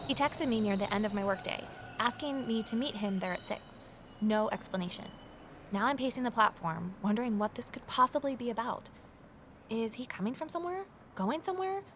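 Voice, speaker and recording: A woman's voice: a little high, a little hiss or hum, lightly acted. An actress playing a determined, inquisitive investigator who tells her story in an earnest, confiding way. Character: Evelyn, determined, inquisitive young woman investigator and narrator. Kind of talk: first-person scripted drama narration and dialogue.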